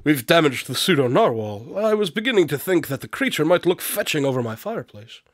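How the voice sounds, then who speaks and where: An actor playing an eccentric, inquisitive detective in a radio drama, articulate and dryly witty, with formal phrasing. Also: male voice, a little high, sing-song, a little fast, slight background noise. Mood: eager, distracted.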